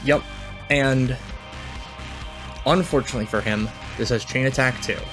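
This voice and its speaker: A man who is a player in a tabletop game, improvising descriptions of his character's actions haltingly. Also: medium pitch, music in the background.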